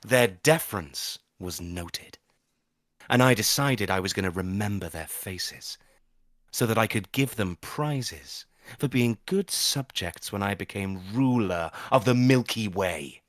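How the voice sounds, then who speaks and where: A man's voice: fairly low pitch, lively intonation, measured pace, dry recording, clean studio sound, voice pushed down. A man playing a grandiose, menacing schoolboy with earnest self-importance, in a dramatic first-person audio drama monologue. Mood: grandiose, ominous. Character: grandiose, menacing schoolboy obsessed with conker glory.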